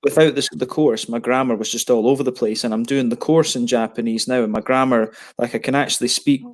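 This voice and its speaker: Man, 30s, casual rambling hangout talk over a headset, mid-pitched and relaxed, frequent self-corrections. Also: brisk. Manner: rambling, many restarts and fillers. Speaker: beer reviewer on a video hangout. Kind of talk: casual chat.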